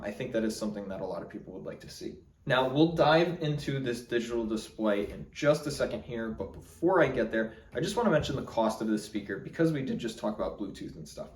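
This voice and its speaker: A man's voice: mid-range voice, a little mellow. A man giving a casual, explanatory product review in an informal way. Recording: roomy echo, distant mic.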